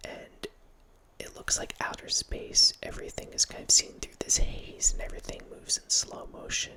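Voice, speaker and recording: A man's voice: pushed and bright, rough recording. A man in his thirties, an ASMR host, whispering in a chatty, rambling way close to a studio mic in a room at home. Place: home room with bookshelves, indoors. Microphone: large studio mic close up, wearing headphones.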